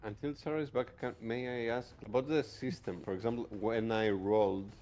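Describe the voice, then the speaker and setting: Soft dark-voiced guy at a tabletop game, kinda unsure, asking how stuff works. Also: medium pitch, steady tone, fairly quick. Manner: tentative, checking his understanding. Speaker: player new to the game system. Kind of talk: casual tabletop game talk, asking questions.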